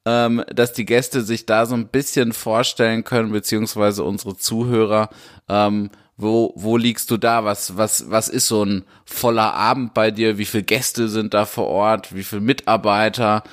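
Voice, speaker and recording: A man's voice: lowish voice, flat delivery, moderate pace. A man from Karlsruhe hosts an interview podcast and asks friendly strings of questions. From Karlsruhe, Germany. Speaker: podcast host. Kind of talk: casual interview hosting.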